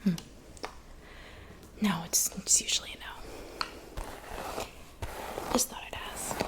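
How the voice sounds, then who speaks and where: A woman playing a gentle, attentive witch in an ASMR roleplay, whispering breathily and unhurriedly with soft pauses. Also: lightly acted, low-quality recording. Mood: confiding, gentle. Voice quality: breathy whisper with creaky edges. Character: gentle, attentive old witch who looks young. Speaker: ASMR performer.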